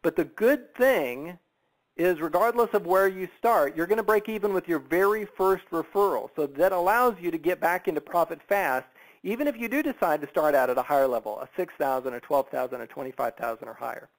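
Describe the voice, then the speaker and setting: A man presenting an enthusiastic business sales pitch in a persuasive, emphatic and conversational way, tossing in rhetorical questions. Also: a little fast, low-quality recording. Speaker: sales presenter on a business opportunity conference call.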